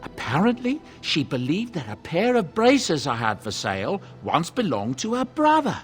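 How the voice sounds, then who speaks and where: Genial dwarven trader for a fantasy game, chatty and self-justifying, lively higher-pitched delivery. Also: male voice, normal speed, faint music behind. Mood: wry reminiscing. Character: folksy, genial dwarven merchant, protective adoptive father. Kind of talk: video game character dialogue, storytelling.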